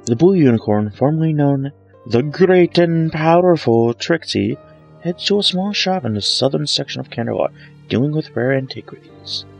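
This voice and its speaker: A man's voice: expressive, moderate pace, gravelly. A man reading a fan fiction story aloud as a dramatic scripted narration. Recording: low-grade home microphone, music bed. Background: soft dramatic background music.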